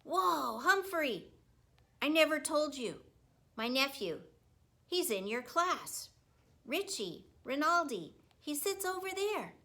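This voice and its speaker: Chummy male janitor for a children's story, voiced by a woman; folksy, exclamatory, bright and forceful. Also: high voice, sing-song, slow pace, pitched above the performer's own voice, exaggerated voice. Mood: excited. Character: friendly, hearty male school janitor, big-hearted and chatty. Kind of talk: children's book read aloud.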